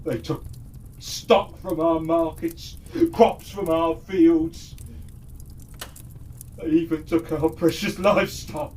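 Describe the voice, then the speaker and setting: Man voicing a high-pitched, slow-talking earnest elf villager. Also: steady tone, darkish tone. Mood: shaken, grave. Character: shaken male elf villager recounting a raid. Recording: distant mic with faint background noise.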